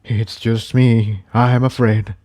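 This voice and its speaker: Man acting a slow, weary old pony elder. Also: medium pitch, flat delivery, dark tone, lightly acted. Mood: weary, sad. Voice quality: quavering. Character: elderly male pony ruler, weary and grave.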